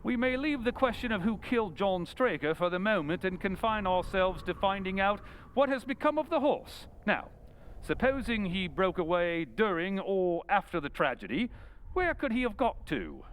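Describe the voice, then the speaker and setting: Guy doing classic radio detective, highish voice that swoops around, polite but sure of himself, bit theatrical. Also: very animated, normal speed, smooth. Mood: brisk, confident. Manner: courteous, theatrical, inquisitive. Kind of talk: old-time radio drama acting.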